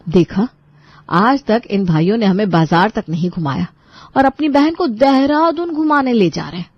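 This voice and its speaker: A woman's voice: mid-range voice, lively intonation, normal speed, no echo, muffled recording, close to an everyday voice. A woman playing a resentful, put-upon young sister-in-law in a dramatized family story, sarcastic and grudgingly polite. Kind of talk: dramatized storytelling with asides to listeners.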